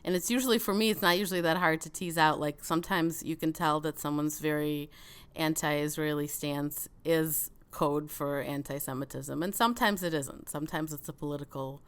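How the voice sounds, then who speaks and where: A woman's voice: low voice, steady tone, moderate pace, clear, resonant tone. Chatty woman with a mild Chicago accent. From Soviet Union. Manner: chatty, many fillers and restarts, laughs between thoughts. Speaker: guest. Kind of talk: casual interview conversation.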